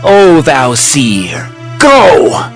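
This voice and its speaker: A man's voice: medium pitch, huge pitch swings, drawn-out words, creaky, soft background music, heavily acted. A man playing a hostile, haughty court priest who declaims accusingly in a dramatized scripture reading. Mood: scornful.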